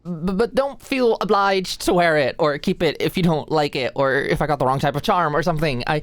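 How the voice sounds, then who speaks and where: A woman's voice: deep voice, fairly quick, no room sound, natural voice. A woman playing an anxious, flustered young medical student in an intimate ASMR roleplay, with a hesitant, stammering, playfully defensive way of talking. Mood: nervous, apologetic. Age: young adult. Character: anxious, flustered, endearing short female medical student study buddy.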